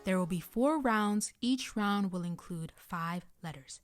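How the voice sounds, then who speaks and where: A woman's voice: medium pitch, lively intonation, measured pace, faint music behind. A woman giving a scripted language lesson as a tutor, guiding pronunciation practice with carefully enunciated examples. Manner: clear instructional delivery, carefully enunciating example sounds.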